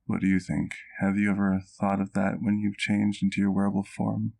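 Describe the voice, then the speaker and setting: A scholarly male vampire acted by a man with a very low, gravelly voice. He speaks in formal, measured, genteel phrases, as a caring doctor and keeper talking closely to the listener in an ASMR roleplay. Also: level tone, dark tone. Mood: reflective storytelling. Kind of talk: ASMR roleplay, intimate one-sided dialogue to the listener.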